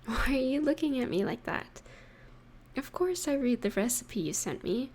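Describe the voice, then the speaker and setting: A woman voicing an affectionate, playful partner in an intimate roleplay audio, speaking gently and teasingly to the listener with pauses. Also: sits high, lively intonation. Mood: teasing, fond.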